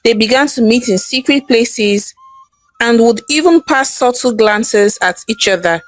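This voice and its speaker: A woman's voice: on the high side, a little slow. A woman narrating a scripted folktale in a dramatic storytelling style.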